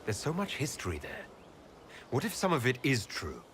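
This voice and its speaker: A man playing an earnest, thoughtful heroic leader in a video game, with a measured, sincere manner. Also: medium pitch, wildly swooping pitch, a bit bright, a little hiss or hum. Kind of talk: video game character dialogue.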